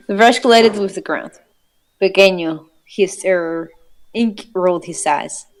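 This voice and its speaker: A woman reads fan fiction aloud in a relaxed, informal style. Her voice sits at a middle pitch. She mixes plain narration with giggly asides, like chatting with a friend. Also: measured pace. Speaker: reader of fan fiction and co-host. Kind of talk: casual read-aloud with giggly banter.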